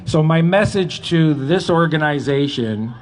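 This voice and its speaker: A middle-aged or older man with a Canadian accent gives an earnest, deliberate, emphatic public speech at a live event. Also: on the high side, expressive. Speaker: retired police officer speaking at a rally. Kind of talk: public speech, partly read from a letter. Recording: live event with background noise.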